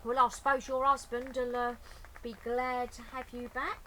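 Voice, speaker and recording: A woman's voice: fairly high pitch, little pitch movement, a little slow, a bit bright, slight background noise, voice pushed up, rough recording. A woman voicing a simple, good-natured working-class man burglar in a storybook, earnest and ungrammatical. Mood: sheepish. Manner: colloquial, ungrammatical, earnest speech.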